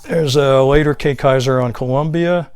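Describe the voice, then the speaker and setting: A man's voice: medium pitch, steady tone, moderate pace, darkish tone, smooth. Man casually cataloguing a collection. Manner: unscripted, listing titles and labels item by item. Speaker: record collector presenting his finds. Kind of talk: casual show-and-tell narration.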